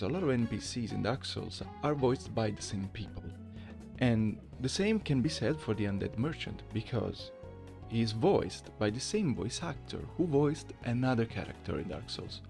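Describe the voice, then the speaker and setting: A man's voice: fairly low pitch, vocal fry, a little mellow. A man hosting a gaming channel, talking in a casual video essay style. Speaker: gaming channel host, amateur voice impersonator.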